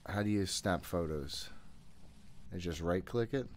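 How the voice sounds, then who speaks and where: A man's voice: sits low, measured pace, slight background noise. A man in his thirties giving casual, jittery, profane gameplay commentary, often talking to himself. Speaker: gaming host. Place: room at home.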